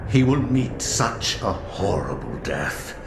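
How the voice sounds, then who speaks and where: Very deep, gravelly, menacing villain. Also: male voice, big pitch swings, slow, heavily acted. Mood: scheming, menacing. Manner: commanding, threatening, theatrical. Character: menacing villainous servant of an ancient dark god. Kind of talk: dramatic video game voice acting. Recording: echoing, noisy game cutscene audio.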